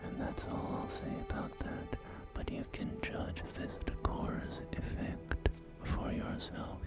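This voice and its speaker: Wasteland realtor male performer, courteous and salesy, slow creaky whisper for ASMR roleplay, music underneath. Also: very high-pitched. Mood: smooth, suggestive. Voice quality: breathy whisper. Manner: courteous, gently salesy, hesitant pauses. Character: polite, salesy post-apocalyptic real estate agent. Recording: soft game music behind. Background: music and game audio.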